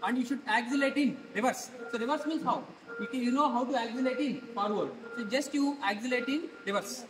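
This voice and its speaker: A man's voice: extremely high pitch, quick pace, roomy sound, music in the background, low-quality recording. A man in his thirties with an Indian English accent, demonstrating a scooter to a class and quizzing students with questions as he goes. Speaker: instructor. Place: large open workshop hall with students.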